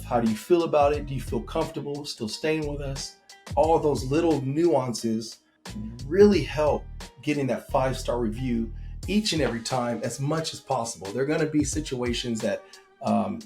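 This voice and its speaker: Man giving relaxed hosting advice. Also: mid-range voice. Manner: relaxed, conversational with frequent ums. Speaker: host. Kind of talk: casual advice talk.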